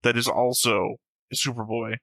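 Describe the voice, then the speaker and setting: A man's voice: mid-range voice, level tone, measured pace, pushed and bright, no room sound, low-quality recording. A man hosting a reaction show, talking casually and argumentatively with frequent swearing. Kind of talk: casual discussion, reacting to an animated series.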